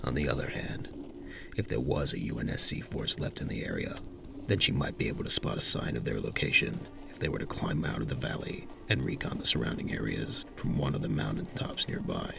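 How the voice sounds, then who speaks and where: Extremely deep, gravelly male narrator. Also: lively intonation, brisk. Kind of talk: scripted dramatic story narration.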